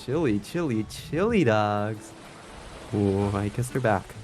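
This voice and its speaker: A man with a fairly low voice narrates a visual novel for a stream, with music playing behind him. He reads expressively and drops in playful asides. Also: expressive, slow, darkish tone. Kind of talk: expressive read-aloud narration with live reactions.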